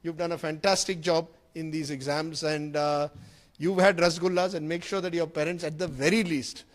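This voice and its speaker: A middle-aged man with an Indian accent, hosting a lively interview and switching between English and Hindi with a teasing, energetic manner. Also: fairly high pitch, normal speed. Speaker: interviewer, host.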